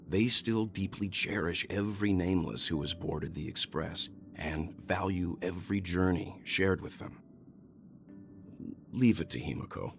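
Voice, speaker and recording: An actor playing a wise, measured older mentor and navigator in a video game, speaking thoughtfully and articulately. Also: male voice, sits low.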